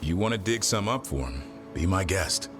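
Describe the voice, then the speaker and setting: An actor playing a laconic monster hunter in a video game, speaking in a terse, dryly amiable way. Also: male voice, deep voice, lively intonation, moderate pace, music in the background. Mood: puzzled, amused. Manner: terse, understated, dry.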